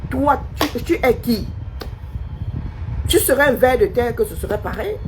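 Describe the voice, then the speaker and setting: Scornful woman with a lively high voice. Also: unhurried, background noise. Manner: scornful, challenging, repeated rhetorical questions. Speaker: live streamer. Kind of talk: confrontational live-stream monologue.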